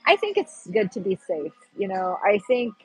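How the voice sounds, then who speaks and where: Breathy woman chatting on a livestream. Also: mid-range voice, very animated, moderate pace, faint music behind, low-quality recording. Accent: Pacific Northwest American. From Pacific Northwest, United States. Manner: rambling, chatty, searching for words. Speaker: livestream host. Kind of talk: casual livestream chat.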